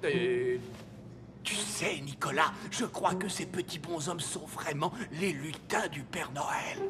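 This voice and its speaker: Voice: medium pitch, takes it slowly, natural voice. An actor voicing an old, forgetful Father Christmas in a cartoon, talking in a giddy, childish, exclaiming way over music. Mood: puzzled. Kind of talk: French animated series voice acting.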